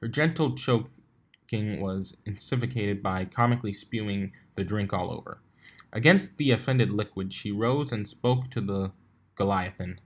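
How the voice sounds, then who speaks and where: A man's voice: fairly low pitch, a little slow. A man reading a story aloud as narrator, sometimes stumbling and rereading words. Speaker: fan fiction reader.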